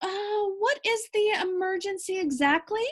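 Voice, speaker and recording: A woman's voice: very high voice, normal speed, voice pushed up. A woman voicing an earnest, inquisitive male firefighter in a storybook, straightforward and questioning. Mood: curious.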